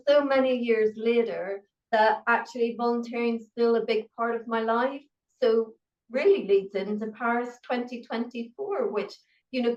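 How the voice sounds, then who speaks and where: A middle-aged woman with a Northern Irish accent, a guest pharmacist chatting in an interview, self-deprecating and full of fillers. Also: a little high. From Northern Ireland. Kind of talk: conversational interview answers. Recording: roomy, distant, dull-sounding remote audio.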